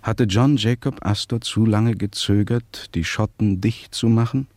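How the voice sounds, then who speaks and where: A man playing a formal, measured chronicler, narrating a scripted radio play with rhetorical emphasis. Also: on the low side, darkish tone, no echo, close to an everyday voice. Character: formal, deliberate male chronicler of a shipwreck.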